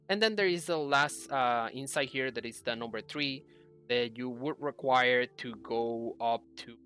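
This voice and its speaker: Young male gaming host, bright forward voice, casual explanatory delivery with some hesitation, music behind. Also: mid-range voice. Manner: hesitant, explanatory, self-correcting. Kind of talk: casual game guide commentary. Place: indoor room at a computer.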